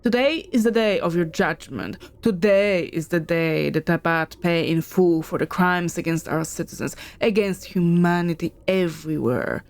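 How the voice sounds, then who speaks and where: A woman voicing an imperious, merciless ruler in a story, with a commanding, measured, oratorical delivery. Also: fairly low pitch, lightly acted. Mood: proclaiming judgment. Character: imperious, merciless ruler pronouncing judgment on a foe.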